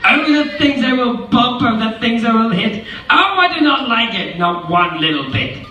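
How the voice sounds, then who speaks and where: A man voicing a panicky, fretful pet fish in a shrill, fussy, alarmed tone. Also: sing-song, normal speed, bright, forceful tone, full character voice. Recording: echoey theatre heard from far off.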